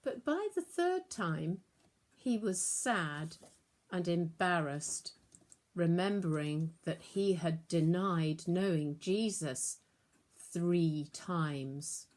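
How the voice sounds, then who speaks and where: Female storyteller for children's religious tale: lowish voice, very unhurried, deliberate and expressive read-aloud. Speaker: storyteller and prayer leader. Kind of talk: scripted children's Bible story reading.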